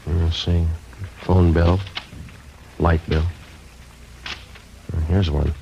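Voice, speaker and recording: Low, clipped American male detective sergeant, businesslike and deadpan, dull vintage radio sound. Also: very slow, gravelly, a little hiss or hum, exaggerated voice. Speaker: lead actor and narrator of a radio police drama. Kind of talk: 1950s radio acting, clipped procedural narration. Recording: dull 1950s radio recording with music.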